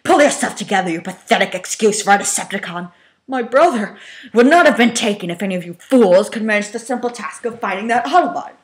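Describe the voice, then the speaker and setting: A woman voicing a domineering male robot tyrant who scolds and demands in a forceful way, in a dramatic fan fiction reading. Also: medium pitch, lively intonation, fairly quick, voice pushed up, natural voice. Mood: furious.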